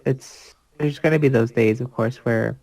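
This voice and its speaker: A man's voice: medium pitch, fairly even intonation, normal speed, gravelly, darkish tone, low-quality recording. A man chatting casually in an earnest, reassuring manner. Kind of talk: casual chat.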